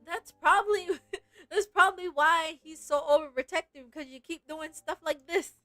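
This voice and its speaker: A woman game streamer chatting casually and reacting in an animated, giggly way. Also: very high-pitched, moderate pace, no room sound, soft background music. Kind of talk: casual livestream chat with read-aloud game lines.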